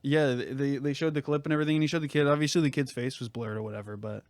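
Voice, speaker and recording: A man's voice: medium pitch, fairly even intonation, racing, no room sound. Teasing young man, podcast banter. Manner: teasing, slangy, repeats phrases for effect. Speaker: podcast co-host. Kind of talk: casual comedic podcast banter.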